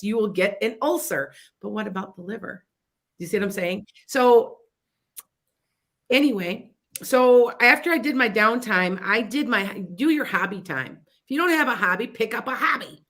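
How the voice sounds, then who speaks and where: A middle-aged woman with a Chicago accent and a bright, forward voice hosts a psychic show on internet radio. She talks in a loose, rambling way, restarting her sentences and drifting into asides as she gives casual life advice. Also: medium pitch. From Wisconsin near the Illinois border.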